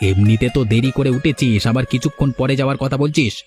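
A man's voice: mid-range voice, quick pace, darkish tone, soft background music, close to an everyday voice. A man voicing an arrogant, domineering village hotel owner in a cartoon, boastful and taunting. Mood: bossy scolding. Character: arrogant, money-proud village hotel owner, harsh boss. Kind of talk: Bengali village cartoon dialogue.

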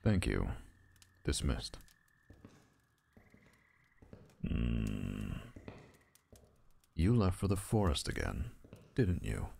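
A haughty owl lord of an audio drama, played by a man. His low voice is slow and full of gravel, and he speaks with formal, imperious disdain. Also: very animated, darkish tone, a little hiss or hum, full character voice. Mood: cold, stern. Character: stern, haughty male owl lord and cold father.

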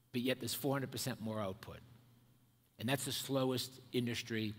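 A man's voice: medium pitch, fairly bright tone. Older man speaking in a hall. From Ireland and America. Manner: measured, emphatic, builds points in lists. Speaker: keynote speaker. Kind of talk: prepared conference speech. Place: large dark auditorium stage at a podium. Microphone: headset mic. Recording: long hall reverb.

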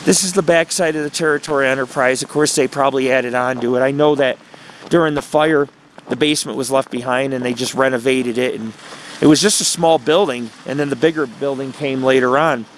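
A man's voice: mid-range voice, fairly even intonation, fairly quick. A man giving a casual, rambling tour narration as a vlogger, speaking outdoors into a handheld camera. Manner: chatty, rambling. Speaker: vlogger and paranormal investigator. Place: outdoors in a small town street. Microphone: handheld camera mic. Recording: noisy outdoor recording with traffic ambience.

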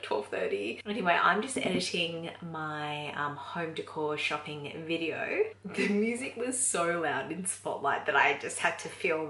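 An Australian woman in her thirties talks to the camera in a casual, chatty vlog style. Her voice sits a little low. She is recorded at home in a small furnished room, a short distance from the camera. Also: fairly bright tone, a little hiss or hum. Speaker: vlogger and mother of a toddler. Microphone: camera a short distance in front.

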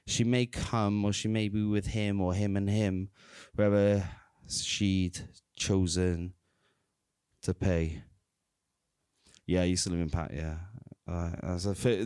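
A man in his thirties performing at an open mic, rambling and self-deprecating between slow, deliberate poem readings into a stand mic on a small indoor stage. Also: on the low side, a little mellow, clean tone, no echo, clean studio sound. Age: 30s. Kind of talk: spoken word poetry reading. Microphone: stand mic, reading from phone.

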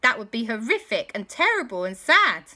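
A woman's voice: on the high side, sing-song, moderate pace, pushed and bright, pitched above the performer's own voice, heavily acted. A woman voicing a kind, anxious auntie in a bedtime story. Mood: worried.

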